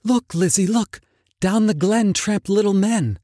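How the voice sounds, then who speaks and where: A man voicing Laura, a curious young woman drawn toward forbidden fruit, speaking in raised, excited tones. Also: high voice, unhurried, dry recording, clean studio sound, voice pushed up. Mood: eager. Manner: excited, breathless urging.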